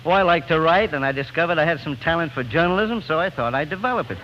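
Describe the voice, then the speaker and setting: A man's voice: on the high side, animated, quick pace, heavy background noise. A man from Lancashire, England, a guest trading scripted banter on a 1940s radio show. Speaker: newspaper critic, radio guest. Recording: dull 1940s radio broadcast.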